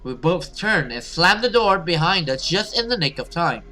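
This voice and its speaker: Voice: mid-range voice, big pitch swings, normal speed, pushed and bright, faint music behind. A gaming video host who reads a horror story dramatically and adds casual commentary.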